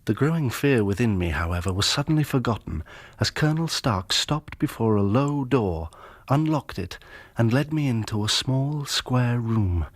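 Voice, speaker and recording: An actor playing an earnest, inquisitive young engineer in a radio drama, with a British accent and a polite, well-spoken manner. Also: male voice, medium pitch, animated, moderate pace, few pauses, close to an everyday voice. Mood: uneasy recollection. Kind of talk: radio drama acting and first-person narration.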